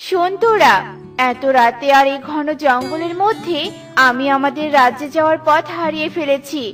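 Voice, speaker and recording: A weary cloud queen played by a woman in a fairy-tale cartoon. She sounds motherly and measured, pitched well below the storyteller's usual squeak, over clear background music. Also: fairly bright tone. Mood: concerned, instructing. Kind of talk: children's cartoon voice acting.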